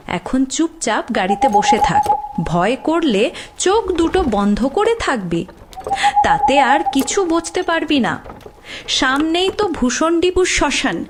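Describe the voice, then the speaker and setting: A woman playing a brave young village woman in an animated ghost story, teasing and scolding like an older sister. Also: sits high, exaggerated voice. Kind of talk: animated horror story voice acting. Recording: very noisy background with clicks.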